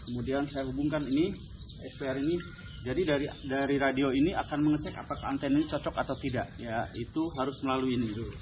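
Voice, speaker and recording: A man's voice: medium pitch, fast. A man with an Indonesian accent hosting a vlog, giving an informal, instructive hands-on explanation to camera. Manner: instructive, often tagging sentences with "ya". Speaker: vlog host demonstrating his radio gear. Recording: slightly muffled, clearly noisy.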